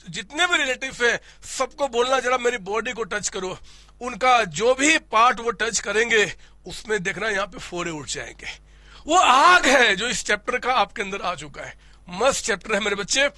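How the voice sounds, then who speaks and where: Hoarse guy teaching accounts, high pitched, super bright voice, lively and joking. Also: dry recording. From India. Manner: animated, playful, addresses students as "my child"; voices students' questions himself. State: hoarse with a cold. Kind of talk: classroom lecture.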